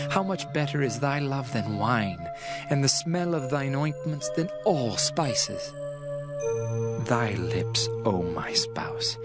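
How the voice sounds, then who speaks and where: A man playing an ardent, adoring bridegroom in a dramatized scripture reading, solemn, tender and declamatory. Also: on the low side. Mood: enraptured. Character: adoring male lover and bridegroom, poetic and ardent. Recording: music under the voice.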